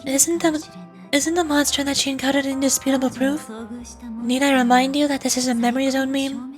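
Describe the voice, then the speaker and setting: A composed, shrewd woman leader, acted by a female player, reasons in a high, deliberate voice. The video game dialogue sits over clearly audible music. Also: level tone, lightly acted. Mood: serious, wary. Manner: analytical, deliberate, polite. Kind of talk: live read-aloud of video game dialogue.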